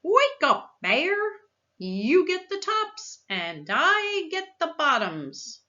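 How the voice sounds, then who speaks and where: Woman as a clever, lively storybook trickster hare. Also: high-pitched, drawn-out words, highs cut off, pitched above the performer's own voice, exaggerated voice. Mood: calling out. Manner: eager salesman-like patter.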